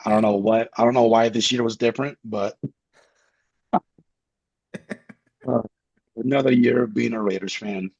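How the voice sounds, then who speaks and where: Man, husky gravelly voice, dry dull lo-fi sound, deadpan and sarcastic. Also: fairly low pitch, normal speed, long pauses, dry recording. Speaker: podcast co-host. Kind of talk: casual sports chat.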